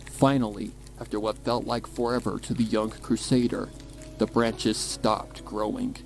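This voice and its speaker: A man reading a dark horror story as a dramatic scripted narration. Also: lowish voice, little pitch movement, unhurried, creaky. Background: music.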